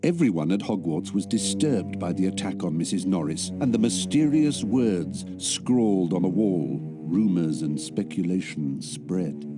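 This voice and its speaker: A man's voice: sits low, animated, unhurried, hardly any pauses, over music. A man with a British accent giving scripted, dramatic storybook narration for a fantasy video game. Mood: measured, mysterious. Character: measured male storybook narrator of a wizard tale.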